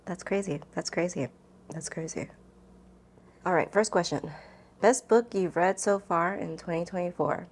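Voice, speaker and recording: Casting: woman with a lowish voice for casual book vlogging; loose, rambling, self-interrupting delivery. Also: darkish tone. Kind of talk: casual chat to camera, book tag.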